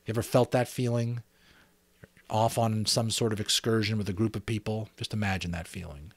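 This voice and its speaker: A middle-aged man giving an expressive, theatrical amateur reading of a novel, with occasional asides to listeners. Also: lowish voice, a little fast, no room sound. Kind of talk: dramatic audiobook narration with asides to listeners.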